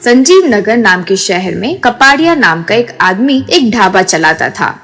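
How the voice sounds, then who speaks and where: A woman's voice: medium pitch, sing-song, measured pace, fairly bright tone. A woman reading a scripted moral story as narrator, with music behind.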